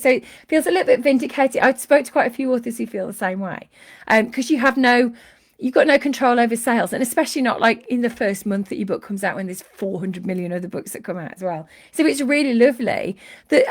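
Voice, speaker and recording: A middle-aged woman hosts a live book chat, her voice sitting a touch high and a little croaky from a cold. She gushes warmly about readers and greets her viewers one by one. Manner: chatty and effusive, greets viewers by name. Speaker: author hosting a live book show. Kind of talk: casual live-stream chat.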